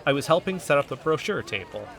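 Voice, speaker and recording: A man's voice: on the high side, very animated, fast, gravelly, a bit bright, full character voice. A man playing an earnest, self-important young amateur investigator, confiding emphatically in a scripted fiction podcast.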